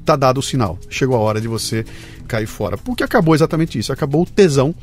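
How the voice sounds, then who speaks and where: A man's voice: mid-range voice, lively intonation, fast talker, a little mellow, soft background music. A man hosting an interview podcast, emphatic and prone to repeating words. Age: 50s. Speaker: podcast host. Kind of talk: conversational interview.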